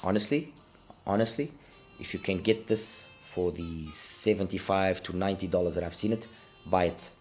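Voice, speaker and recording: A man's voice: sits low, normal speed, darkish tone, faint music behind. A middle-aged man, congested with a cold, giving a casual, hesitant product review and repeating words for emphasis. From Portugal. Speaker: audio gear reviewer.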